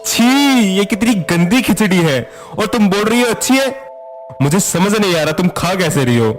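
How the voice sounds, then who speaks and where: A man voicing a proud young husband in a storybook, gruff and scolding, with music underneath. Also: high voice, animated, moderate pace. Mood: disgusted. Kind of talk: storybook voice acting.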